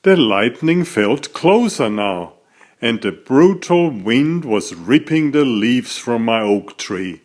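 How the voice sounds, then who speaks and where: A man reading a children's story aloud as its author, in an expressive, deliberate storytelling manner. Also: mid-range voice.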